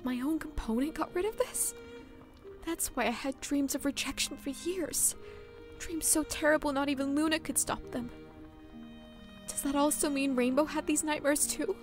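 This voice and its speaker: Woman doing a high earnest hero girl, worried but set on things, music under. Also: normal speed, reverberant, in a large hall, close to an everyday voice. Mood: troubled. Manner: anxious, earnest. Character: young heroine, earnest and determined. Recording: music score behind.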